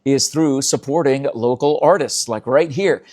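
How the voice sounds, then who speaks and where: A man's voice: medium pitch, unhurried, a little mellow. A man hosting an interview show, talking in an enthusiastic, emphatic, chatty way, as if promoting a local event.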